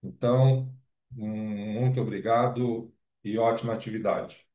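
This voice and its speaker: A man's voice: on the low side, fairly even intonation, dark tone, roomy sound. A man giving formal, hesitant opening remarks on behalf of a university. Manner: slow, hesitant. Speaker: university representative.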